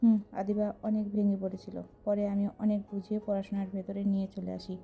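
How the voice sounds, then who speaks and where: A woman's voice: mid-range voice, flat delivery, fairly quick, gravelly, warm tone, slight background noise, natural voice. An actress playing a loyal young working woman who speaks in a plain, confiding way in an audio story.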